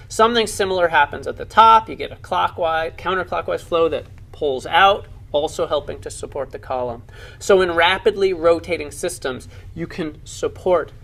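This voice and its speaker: A man's voice: fairly high pitch, gravelly, fairly bright tone. A man narrating laboratory science demonstrations for an educational film, explaining with enthusiasm and emphasis.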